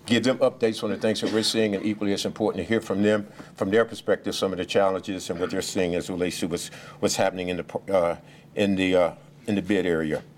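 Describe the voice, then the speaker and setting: Deep-voiced police official at a briefing. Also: male voice, steady tone, a little hiss or hum. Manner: halting, many fillers and restarts; speaks loudly without a mic. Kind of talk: press briefing remarks.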